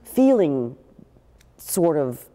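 An older actress telling stories in a seated interview, with a deep, big voice and lively gestures. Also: female voice, drawn-out words, in a large hall. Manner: expressive, gestures a lot while talking. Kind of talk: animated interview storytelling. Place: living room with a pool table, indoors.

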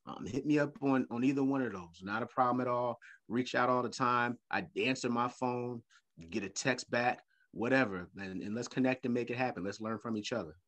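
A middle-aged man talking as a guest on a video call, animated and playful, often repeating his words. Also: mid-range voice, a little fast. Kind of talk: casual video-call interview. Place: home room with leather couch.

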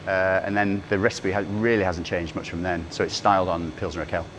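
A middle-aged man talks fast and low, tumbling through his points with restarts and fillers. He is standing on a brewery floor among steel tanks. A steady machinery hum sits clearly behind him. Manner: quick, rambling, with restarts and fillers. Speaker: brewery founder. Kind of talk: casual explanation on a brewery tour.

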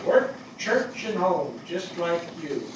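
A man's voice: sits high, very animated, exaggerated voice. Older man playing earnest fire captain, echoey stage. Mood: fond reminiscing. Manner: colloquial, halting, emphatic. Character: plainspoken, earnest New York fire captain reminiscing fondly. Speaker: actor in a staged play reading. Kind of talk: dramatic stage reading, conversational. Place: on stage in a theatre before an audience. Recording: distant mic, echoey hall, audible noise.

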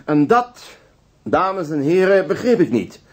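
An actor playing a courteous, methodical police inspector in a Dutch radio drama, businesslike with pensive hesitations. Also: male voice, on the high side, sing-song, measured pace. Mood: measured.

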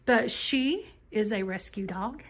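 A woman's voice: medium pitch, expressive, takes it slowly, a bit bright. A woman talking casually in a home vlog, rambling and correcting herself in a conversational way as she goes. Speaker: home and cleaning vlogger. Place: rooms inside a house, bedroom and bathroom.

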